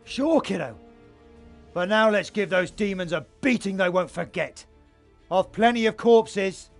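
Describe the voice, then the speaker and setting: Irreverent, bloodthirsty little fantasy-game creature, male, cocky and high-voiced. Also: unhurried, gravelly, faint music behind. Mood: gleeful mockery. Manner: mocking, irreverent, theatrical. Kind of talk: fantasy game cutscene acting.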